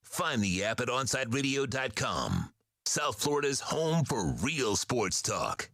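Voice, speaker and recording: A man reading a radio station identification in a lively announcer style. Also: mid-range voice, a little slow. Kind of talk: station ID promo read.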